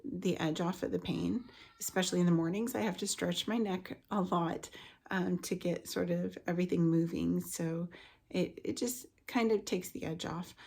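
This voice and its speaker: A woman in her late thirties telling a personal health story casually and haltingly, her voice scratchy from the tail end of a cold. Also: lowish voice, gravelly, a little mellow. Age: late 30s. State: getting over a cold with a lingering cough. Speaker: vlogger, stay-at-home mother.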